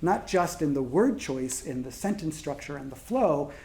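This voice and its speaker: Male panelist in his 40s, somewhat high voice with gravel, halting delivery with lots of fillers. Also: animated, a little mellow. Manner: halting with many fillers and restarts. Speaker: panelist translator. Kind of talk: panel discussion.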